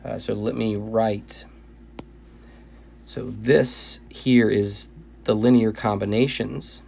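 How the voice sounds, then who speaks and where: A man teaching linear algebra in a recorded video lecture, his voice tired and going hoarse, often restarting and repeating phrases. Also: fairly low pitch, steady tone, slow pace, a little mellow, a little hiss or hum. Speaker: math teacher.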